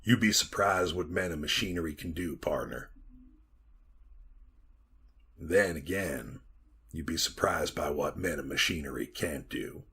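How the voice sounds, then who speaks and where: Deep, husky, weathered old-West miner. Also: male voice, measured pace, long pauses, a bit bright, heavily acted. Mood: haunted, brooding. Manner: folksy, rambling, addressing listener as partner. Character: weathered bearded Montana copper miner, 34, haunted yarn-spinner. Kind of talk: retro 1940s radio drama monologue.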